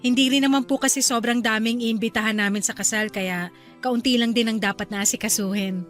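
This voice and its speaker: A woman's voice: fairly high pitch, fairly even intonation, brisk, soft background music, natural voice. A woman playing a sweet, polite and deferential young fiancée in a Filipino radio drama.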